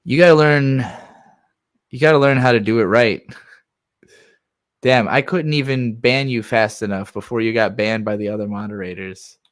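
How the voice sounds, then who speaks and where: A man's voice: medium pitch, normal speed, long pauses. Male streamer, mid-range voice, loose conversational reaction commentary, rambles and swears freely. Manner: conversational, rambling, sweary, acts out imagined replies. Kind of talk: casual unscripted reaction commentary.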